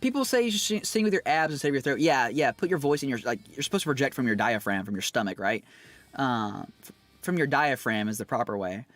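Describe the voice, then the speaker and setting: A man chatting casually with his livestream viewers, rambling and animated, with lots of fillers and quick laughs. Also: mid-range voice. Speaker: streamer and singer.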